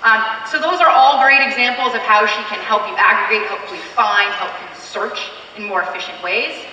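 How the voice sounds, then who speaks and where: A woman's voice: fairly high pitch, moderate pace, bright, forceful tone, a little hiss or hum. A woman with a Canadian accent giving an upbeat, chatty live product demo on a convention stage, with frequent fillers. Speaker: keynote presenter. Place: large convention hall stage. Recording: distant mic in echoey hall.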